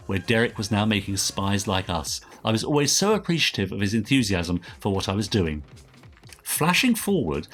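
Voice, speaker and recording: A man's voice: on the low side, fairly bright tone. A British man co-hosting a podcast, reading out listener messages in a chatty, enthusiastic way. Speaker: podcast co-host.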